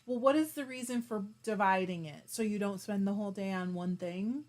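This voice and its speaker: A woman hosting a fiber arts live stream, chatting casually with viewers and reading their comments aloud while she spins yarn. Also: mid-range voice. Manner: chatty and warm, with frequent laughs and quick asides; talks while spinning yarn.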